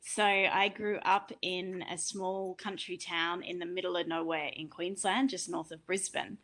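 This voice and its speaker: A woman's voice: lowish voice, steady tone, measured pace, fairly bright tone, rough recording. Chatty Australian woman moderating a panel. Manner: chatty, enthusiastic, personal asides. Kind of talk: online panel discussion.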